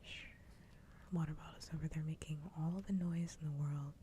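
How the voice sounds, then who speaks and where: A woman speaks in a soft, low whisper with a dark, mellow tone. Her delivery is casual ASMR livestream chat, kept very even in pitch. A faint rumble of noise sits underneath the close microphone. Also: moderate pace. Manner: soft-voiced, mostly whispering.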